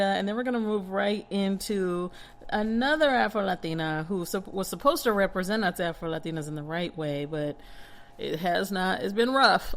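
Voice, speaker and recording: Low-voiced American woman podcaster. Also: slight background noise. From Texas, United States. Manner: chatty, frank, emphatic, with light laughs. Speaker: podcast host and tarot reader. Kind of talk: casual monologue to listeners.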